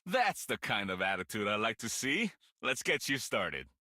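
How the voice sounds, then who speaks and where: A woman's voice: rumbling low, sing-song, moderate pace, bright, forceful tone, a little hiss or hum, pitched below the performer's own voice, heavily acted. A woman voicing a cheerful, joking male fishing instructor in a visual novel, with encouraging, exclamatory delivery. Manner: jolly, swooping exclamations. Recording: music behind the voice.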